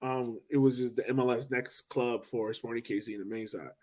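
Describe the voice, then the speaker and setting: A man hosting a casual sports podcast, animated and laughing often, with quick asides. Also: mid-range voice. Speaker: podcast host.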